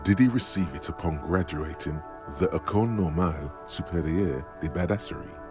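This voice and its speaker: A man voicing the brooding inner voice and narrator of a detective's mind in a game, theatrical and deliberate. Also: low voice, lightly acted. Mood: grandiose flattery. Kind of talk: scripted game narration, second person. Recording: music behind the voice.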